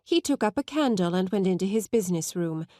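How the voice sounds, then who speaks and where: A woman's voice: mid-range voice, lively intonation, fairly quick, dark tone, no room sound, studio quality. A woman reading scripted literary narration as an audiobook narrator.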